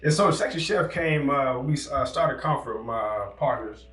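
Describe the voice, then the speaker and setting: A man's voice: medium pitch, moderate pace, fairly bright tone, reverberant, far from the mic. A young man, a guest chef, chatting casually and telling his story in an easygoing way in a home kitchen. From Virginia, US. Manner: laid-back, easygoing storyteller. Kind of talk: casual chat.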